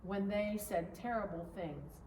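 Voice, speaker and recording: A woman reading a children's story aloud in a measured, expressive storytelling manner, recorded at a distance in an echoing room. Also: medium pitch. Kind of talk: children's picture-book read-aloud. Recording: roomy echo, distant mic, background noise.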